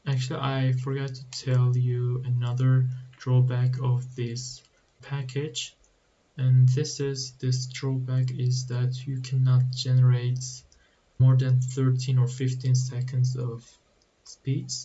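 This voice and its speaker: A man talking through a coding tutorial at a slow, hesitant pace, his voice flat and mellow. The recording is dull and a little muffled with faint background hiss. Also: mid-range voice. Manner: slow, halting explanation with restarts. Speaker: programming tutorial host. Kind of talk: screen-recorded coding walkthrough.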